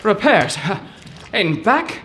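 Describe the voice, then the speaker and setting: Excitable male professor, acted, high and swooping voice, florid and overwrought, comic audio drama, some ambient noise. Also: takes it slowly, full character voice. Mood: nervous.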